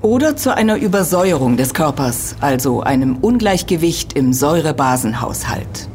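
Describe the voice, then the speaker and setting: An actress playing an earnest, critical university lecturer in an audio drama, explaining precisely and emphatically. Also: female voice, low-pitched, sing-song, moderate pace, darkish tone, slight background noise. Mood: earnest, emphatic. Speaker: expert being interviewed.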